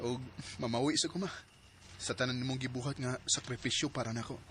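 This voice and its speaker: A man's voice: mid-range voice, steady tone, moderate pace, fairly bright tone, a little hiss or hum, natural voice. A young man acting the part of a resentful son who bursts into heated, accusing outbursts in an audio drama. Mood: remorseful. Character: young adult son, resentful then remorseful.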